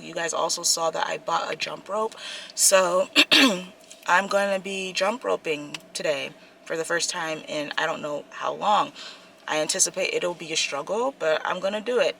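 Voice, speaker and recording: A woman's voice: on the low side, vocal fry, fairly bright tone, slight background noise. A young woman from the Washington DC area, chatting and rambling to her camera from inside her parked car. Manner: chatty, rambling, self-mocking. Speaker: vlogger. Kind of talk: casual talking-to-camera vlog. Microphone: camera mic on a vlog camera.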